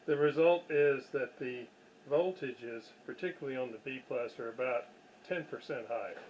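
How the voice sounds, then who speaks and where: A man giving casual, unscripted workbench commentary in a halting way, with pauses and self-deprecating asides. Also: medium pitch, highs cut off. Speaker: host of radio repair videos. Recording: clear background noise.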